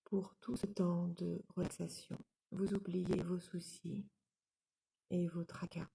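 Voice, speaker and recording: A woman leading a guided yoga nidra relaxation as an instructor, giving calm, soothing, measured instructions. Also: fairly low pitch, gravelly, rough recording.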